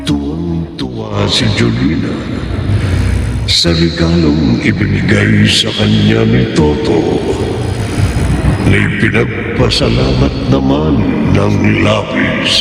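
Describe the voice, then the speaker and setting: A Filipino man narrating a horror drama as its host, with an ominous, drawn-out, theatrical delivery. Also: on the low side, sing-song, vocal fry. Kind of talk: spooky scripted horror story introduction. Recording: heavy echo, long reverb, loud music. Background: loud background music.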